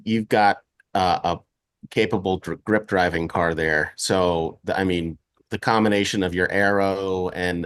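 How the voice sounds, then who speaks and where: A man co-interviewing a racing driver, asking curious questions in a halting way. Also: low voice, fairly bright tone, no echo.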